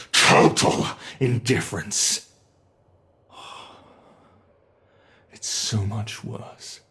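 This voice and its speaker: A man voicing an ancient, immortal monster under the bed, speaking in a slow, brooding, gravelly ASMR horror roleplay monologue. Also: lowish voice, fairly bright tone, exaggerated voice.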